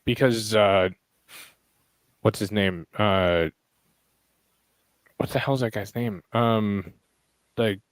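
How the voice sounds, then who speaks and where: A man with a fairly low, hoarse voice, his throat worn out for the day. He chats casually and rambles, often pausing to search for a name. The sound is dry and close, with no room in it. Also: no echo. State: hoarse, lost voice. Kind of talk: casual online chat.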